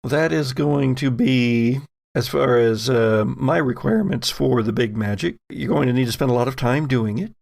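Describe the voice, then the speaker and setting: Gravelly man running a tabletop game. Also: medium pitch, moderate pace, darkish tone. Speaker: game master and host. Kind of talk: narrating and refereeing a tabletop game podcast.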